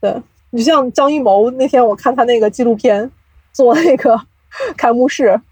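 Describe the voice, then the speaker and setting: A woman's voice: a little high, moderate pace, dark tone, slightly rough, dry recording. A woman speaking as a podcast guest, explaining things reflectively in a casual interview style. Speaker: podcast guest, audio drama producer.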